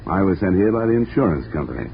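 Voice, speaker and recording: A dry, wisecracking investigator. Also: male voice, deep voice, very animated, fast, creaky, slight background noise, heavily acted. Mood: wry. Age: young adult. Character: wisecracking young male insurance investigator. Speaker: insurance investigator and narrator. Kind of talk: 1940s radio acting. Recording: dull old radio broadcast with music.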